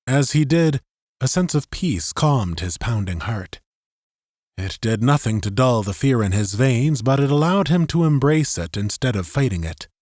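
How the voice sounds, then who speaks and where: Slow, expressive male audiobook narrator. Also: lowish voice, dry recording, studio quality. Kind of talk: dramatic scripted narration.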